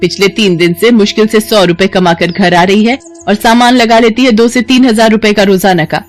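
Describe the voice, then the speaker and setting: A woman voicing a sharp-tongued older mother-in-law who scolds and taunts. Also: mid-range voice, steady tone, normal speed, soft background music, close to an everyday voice. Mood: scolding. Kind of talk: cartoon voice acting.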